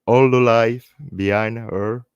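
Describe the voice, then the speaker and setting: A man with a French accent and French as his first language, hosting a live stream in halting English, speaking earnestly and unscripted in short phrases with repeated words. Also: medium pitch, fairly even intonation, smooth, no echo, lo-fi sound. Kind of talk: earnest unscripted talk to online viewers.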